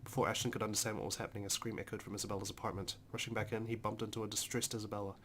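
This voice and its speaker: A man's voice: on the low side, little pitch movement, very fast, a bit bright, a little hiss or hum. A man hosting a let's play, reading visual novel narration aloud dramatically with casual, emotionally involved comments. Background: game music.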